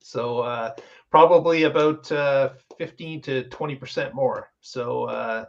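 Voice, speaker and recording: A man's voice: mid-range voice, unhurried, creaky, lo-fi sound. A man hosting a casual panel discussion, explaining things as he goes. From Canada. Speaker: show host.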